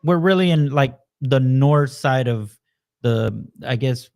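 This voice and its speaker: A man's voice: medium pitch, slow pace, darkish tone. A man raised in Texas, hosting a podcast and asking loose, rambling questions full of "you know.". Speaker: podcast host. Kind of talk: casual podcast interview.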